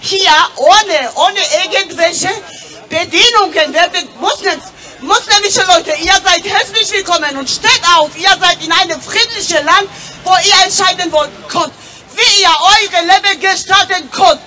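A woman in her thirties with a Georgian accent, giving an impromptu, emotional rally speech in a nervous, agitated, halting way. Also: very high voice, sharp tone, distant mic. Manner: impassioned, agitated, halting delivery. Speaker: audience member speaking at a rally. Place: open city square at a street rally. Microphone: handheld microphone, filmed by a camera nearby. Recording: outdoor public-address microphone from afar, noisy crowd.

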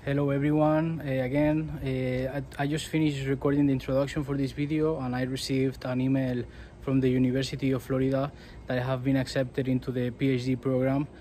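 A young man from Spain speaks casually to his camera in a small indoor room. His delivery is flat and even, at an ordinary middle pitch, and he hesitates often, restarting phrases and filling gaps. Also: brisk, a little hiss or hum. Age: 20s. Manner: hesitant, many fillers and restarts. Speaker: vlogger.

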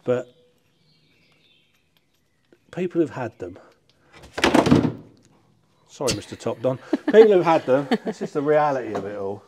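A man's voice: mid-range voice, sing-song, a little slow, long pauses, heavy background noise. Middle-aged British male, hands-on car enthusiast presenting a vlog; informal, self-deprecating, chatty delivery. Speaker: car collector and restorer presenting a channel. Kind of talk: casual chat while working on a car. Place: large workshop barn, indoors.

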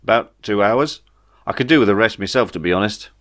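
A man's voice: on the low side, animated, fairly quick, fairly bright tone. A man voicing a chatty, matter-of-fact alien spaceship pilot in a comic sci-fi audiobook. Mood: weary.

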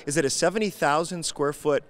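A man's voice: a little high, brisk. Upbeat man hosting a walking tour. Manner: upbeat, prompting guests with questions. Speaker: TV host leading a venue tour. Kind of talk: on-location walking tour interview. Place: large glass-walled opera house lobby. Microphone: handheld mic.

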